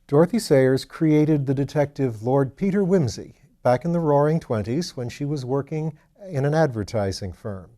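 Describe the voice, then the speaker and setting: Mellow man reading a novel aloud. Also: mid-range voice, animated, moderate pace, hardly any pauses. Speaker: audiobook reader and program host. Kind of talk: scripted literary narration.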